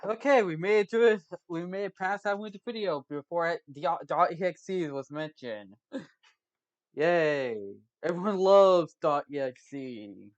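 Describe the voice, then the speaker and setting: A woman's voice: on the low side, measured pace, dull audio. A woman casually reacting to a video, giggling often and answering in short interjections.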